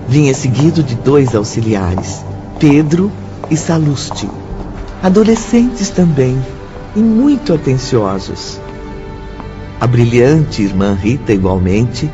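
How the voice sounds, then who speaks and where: A man with a Brazilian accent narrating a scripted radio drama. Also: medium pitch. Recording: dull audio with background music.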